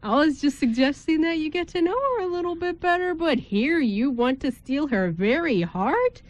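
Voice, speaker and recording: Woman playing a playful groom, swooping high voice. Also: lively intonation, moderate pace, lo-fi sound. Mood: teasing. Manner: playful, reassuring, theatrical. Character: teasing, encouraging male groom, loyal buddy. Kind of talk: amateur radio play script reading.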